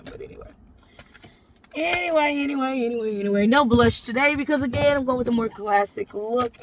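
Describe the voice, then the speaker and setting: Sassy woman with a gravelly voice. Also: a little hiss or hum. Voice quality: deep voice. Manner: sassy, playful, talks straight to the viewer and calls them baby. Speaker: makeup vlogger. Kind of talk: chatty makeup tutorial. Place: inside a parked SUV.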